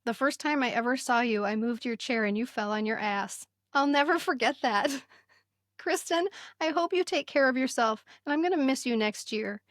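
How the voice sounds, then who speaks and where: A woman's voice: a little high, moderate pace, fairly bright tone, no room sound, studio quality. A woman in her fifties speaking as a podcast host, telling stories candidly in casual chat and sometimes reading aloud. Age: 50s. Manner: candid, chatty storytelling.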